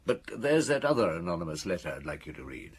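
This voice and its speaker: A middle-aged actor playing a weary, reflective chief inspector in a radio drama, speaking in a measured, ruminative way. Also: male voice, low voice, sing-song, slight background noise. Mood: troubled recounting. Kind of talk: radio drama dialogue and recollection.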